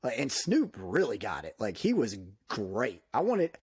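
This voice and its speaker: A man in casual podcast talk, lively and laughing, with frequent "dude" and "like". His voice sits at a middling pitch. The sound is dry and close. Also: unhurried, slightly rough, dry recording. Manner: animated, laughs often, many fillers like "dude". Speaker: podcast guest. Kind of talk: casual chat.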